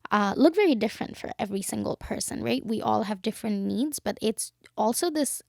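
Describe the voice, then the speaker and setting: A woman's voice: medium pitch, animated, brisk, creaky, a little mellow, no echo, clean studio sound. A young woman from Bangladesh, co-hosting a casual podcast and talking reflectively with lots of "you know". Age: young adult. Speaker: podcast co-host. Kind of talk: casual chat.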